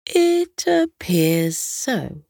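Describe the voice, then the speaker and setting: A woman voicing a kindly, dignified male king in a children's story, speaking in a stately, deliberate way. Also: mid-range voice, sing-song, creaky, dark tone, dry recording, studio quality, exaggerated voice.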